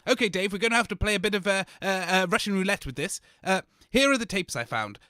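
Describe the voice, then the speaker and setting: A man's voice: high-pitched, lively intonation, fast, sharp tone, no echo, clean studio sound. A man playing a grumbling, exasperated radio co-host in a scripted comedy. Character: grumbling, exasperated co-host Fog, self-styled engineering wizard.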